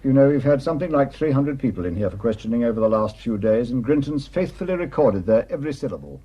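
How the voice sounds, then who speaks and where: A sardonic police superintendent in a radio murder mystery. He has a husky voice at a middling pitch and probes with dry, wry persistence. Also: lively intonation, fast, warm tone, dull audio. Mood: wry, courteous. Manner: wry, insistent questioning. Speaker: actor. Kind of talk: radio drama acting.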